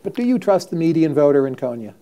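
A man's voice: on the high side, big pitch swings, quick pace, dark tone, highs cut off. A middle-aged man hosting a live stage interview, asking direct, probing questions, recorded on a lapel mic. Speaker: host. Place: stage before a live audience.

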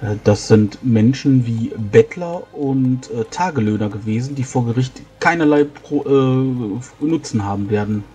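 A man improvising in-character dialogue in a tabletop role-playing game, explaining things in a halting way. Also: medium pitch, dark tone.

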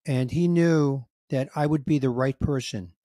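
A man in his sixties hosting a podcast, telling a story in a casual, animated way and acting out the dialogue, with some emotion. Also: medium pitch, a little slow, no room sound, clean studio sound. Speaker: podcast host, comedian. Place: home room with record shelves. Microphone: studio mic on boom, headphones, video call.

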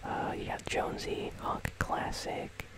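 A host whispering casual, conversational ASMR commentary on a video game in a breathy, unvoiced voice, close to the microphone. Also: unhurried. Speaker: host of a gaming news channel.

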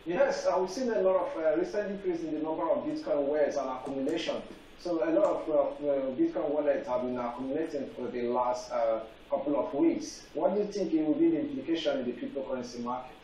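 A young man hosting a televised panel discussion, halting with many fillers. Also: a little high, warm tone. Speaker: TV panel anchor. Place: TV studio set. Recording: echoey room, faint noise, far from mic.

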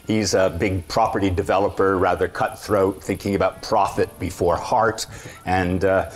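A man's voice: deep voice, fairly even intonation, normal speed. A middle-aged man from Los Angeles, speaking thoughtfully as an actor in an interview. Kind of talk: press interview.

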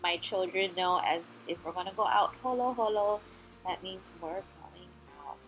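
A woman's voice: fairly low pitch, normal speed, fairly bright tone, soft background music, rough recording. A woman from Hawaii, a mother and vlogger, chatting casually in voice-over about her life and cleaning out her car. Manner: chatty, explaining.

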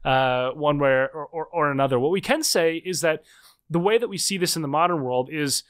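A man's voice: on the high side, fairly quick, a bit bright, clean tone. Thoughtful man talking in a podcast interview. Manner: thoughtful, hedging with fillers and restarts. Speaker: podcast guest, author. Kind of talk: conversational interview.

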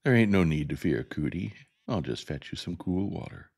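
A man's voice: low-pitched, expressive, brisk, vocal fry, breathy edge, heavily acted. A man voicing a small, gallant schoolboy in an audiobook story, with an earnest, protective, folksy manner. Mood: soothing. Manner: earnest, gentle, folksy rural speech.

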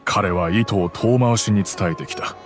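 An actor gives a kind middle-aged father with a lowish, steady voice his low register. He speaks in a calm, reflective way, full of quiet regret, as faint game music plays underneath. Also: normal speed, close to an everyday voice. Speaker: wealthy father recounting the past. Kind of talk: game cutscene voice acting.

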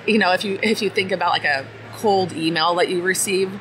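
A woman, a company CEO, chatting casually in an interview in a relaxed way, with lots of fillers. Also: fairly low pitch, fairly quick. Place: busy conference floor. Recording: noisy convention floor recording.

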